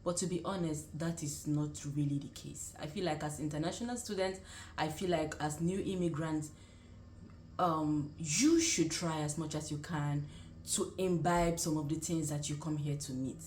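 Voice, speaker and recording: A woman's voice: low-pitched, fairly even intonation, normal speed, a little mellow, a little hiss or hum. A young woman from Nigeria with a Nigerian accent, chatting casually as a vlogger giving advice, often circling back to rephrase as she explains. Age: young adult. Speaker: vlogger and nursing student. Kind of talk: casual direct-to-camera advice chat. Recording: roomy, echoing sound.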